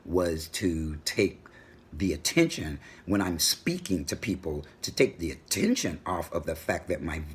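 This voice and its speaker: A middle-aged man with a booming voice, talking assertively in a personal home vlog. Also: a little slow, breathy edge. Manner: assertive, animated storytelling. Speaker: vlogger. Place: home room with a world map on the wall.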